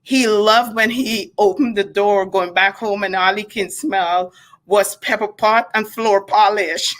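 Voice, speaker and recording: A woman's voice: fairly high pitch, fairly bright tone. A woman from Guyana with a Guyanese accent, chatting casually and reflectively as an interview guest.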